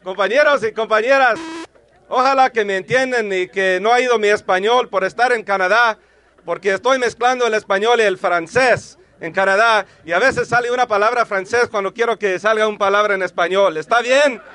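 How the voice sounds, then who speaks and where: A man, delivering a rousing union rally speech and shouting slogans to a crowd. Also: high-pitched, a little fast.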